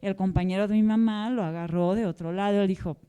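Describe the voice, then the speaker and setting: A woman's voice: medium pitch, steady tone, fast, warm tone, clear, resonant tone. A woman from Portugal moderating a literary panel, speaking reflectively and discursively, often checking in with short tag questions. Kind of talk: reflective spoken question with personal anecdote at a public talk.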